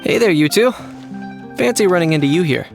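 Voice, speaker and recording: An actor playing a witty, self-assured young gentleman in an English video game, articulate and wry with theatrical flair. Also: sits high, lively intonation, brisk, creaky. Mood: breezy. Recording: music behind the voice.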